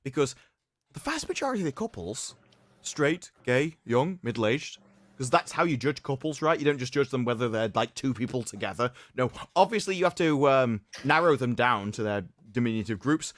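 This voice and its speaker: Man, sarcastic livestream host, theatrical mock reading, lively swooping delivery, close dry sound. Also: mid-range voice, no room sound. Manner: sarcastic, theatrical, drawn-out emphasis. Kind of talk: mocking dramatic reading of an article with commentary.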